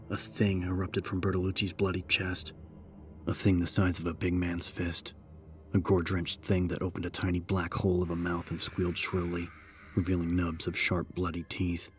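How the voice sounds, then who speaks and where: A man narrating a horror audiobook in a scripted, dramatic style. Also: low voice. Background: background music.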